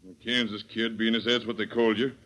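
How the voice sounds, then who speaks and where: An actor playing a gruff, blunt Old West stagecoach boss with an American accent and rustic speech, in 1930s radio western style. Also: male voice, lowish voice, little pitch movement, fast talker, fairly bright tone, lightly acted. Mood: blunt, probing.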